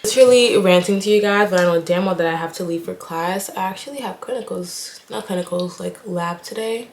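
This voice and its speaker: A woman's voice: on the low side, a little fast, slight background noise. A young woman from Haiti, a nursing student, chatting casually while getting ready and rambling with lots of "like". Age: young adult. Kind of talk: casual get-ready-with-me chat. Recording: echoey room.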